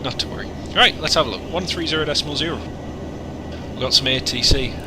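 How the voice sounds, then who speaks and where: A man's voice: a little high, quick pace. A man chatting casually on a flight sim livestream, joking and rambling between asides. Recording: noisy, with engine hum.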